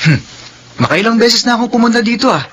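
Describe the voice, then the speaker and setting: Man acting a bright-voiced, stubborn young lover. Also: extremely high pitch, lively intonation, fast talker, exaggerated voice. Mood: offended. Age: young adult. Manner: indignant, earnest. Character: proud, stubborn young tricycle driver in love. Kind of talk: melodramatic radio drama acting.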